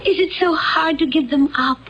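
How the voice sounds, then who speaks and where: An actress playing a serene, solemn woman from another world in a radio drama, speaking in a measured, earnest and foreboding way. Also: high voice, fairly bright tone. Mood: solemn warning. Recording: dull, lo-fi radio recording with faint noise.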